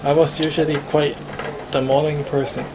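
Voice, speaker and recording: A man with a Hong Kong accent reads a visual novel aloud in an informal, slightly stumbling way. His voice sits fairly high, with music clearly playing behind him. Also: level tone, normal speed. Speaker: streamer reading the protagonist.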